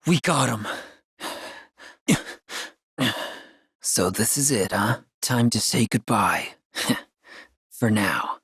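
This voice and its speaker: A man's voice: on the low side, slow pace, slightly rough, no echo, studio quality, exaggerated voice. A man playing a brave, cocky young soldier hero in a video game, casual and earnest, loyal and defiant. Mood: reflective. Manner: casual, wisecracking, earnest, expressive.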